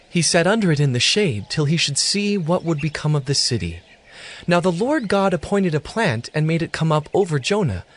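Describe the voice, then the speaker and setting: Brief: male narrator, slightly high, very lively intonation, scripted scripture reading.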